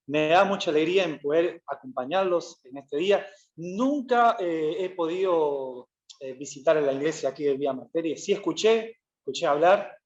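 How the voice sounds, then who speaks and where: Chatty pastor in echoey church. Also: male voice, fairly high pitch, normal speed. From Peru. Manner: relaxed, chatty, frequent "eh" fillers and self-corrections. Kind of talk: conversational sermon. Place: church hall. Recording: roomy church echo, slightly dull.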